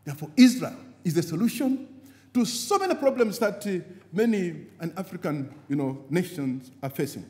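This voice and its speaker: High-voiced man preaching in a hall. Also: expressive, normal speed, darkish tone. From Zambia. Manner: preaching cadence, rising on key words and dropping low at phrase ends. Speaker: guest speaker at a party convention. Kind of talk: impassioned convention speech. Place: large convention hall. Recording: long hall reverb from a convention venue.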